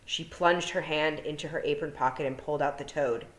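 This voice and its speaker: A woman's voice: low voice, fairly even intonation, brisk. A woman narrating a children's fantasy novel as a storytime reader. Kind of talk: storybook narration read aloud. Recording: roomy echo.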